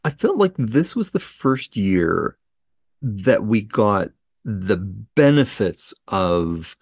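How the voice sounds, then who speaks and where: A man, co-hosting a book podcast, speaking casually and getting animated when excited. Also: slow pace, slightly rough, no echo, studio quality. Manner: animated, pitch jumps up when enthused. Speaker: podcast co-host.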